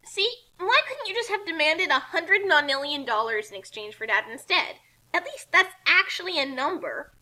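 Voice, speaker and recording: A woman reading a comic fan-fiction story aloud in animated narration, with casual asides. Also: high-pitched, normal speed.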